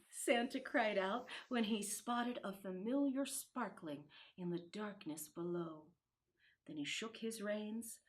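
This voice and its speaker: A woman storyteller reading a children's storybook expressively, with dramatic, deliberate phrasing that dips into hushed tones. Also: fairly high pitch.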